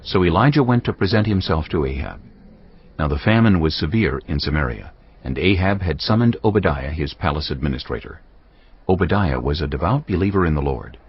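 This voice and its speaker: Deep, husky, solemn male narrator. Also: expressive, fairly quick, lo-fi sound. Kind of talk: scripted dramatic Bible narration.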